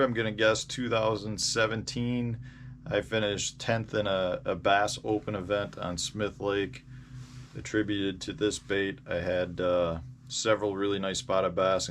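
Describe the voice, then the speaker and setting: An American man in his forties telling casual, rambling, halting stories as the host of a fishing vlog. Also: deep voice. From Indiana, United States. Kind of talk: casual vlog storytelling about fishing gear. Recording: clear background noise.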